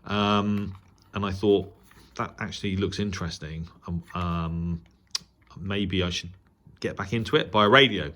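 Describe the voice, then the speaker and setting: A British man giving a casual, unscripted radio review as a hobbyist vlogger, rambling along in a hesitant, halting way. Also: sits low, a bit bright. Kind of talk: casual unboxing and review talk.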